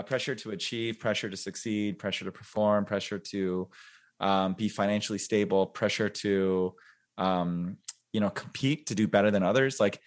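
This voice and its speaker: Reflective man in his forties, low voice. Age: 40s. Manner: reflective, thinks aloud with many fillers and restarts. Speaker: podcast guest. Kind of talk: casual interview chat.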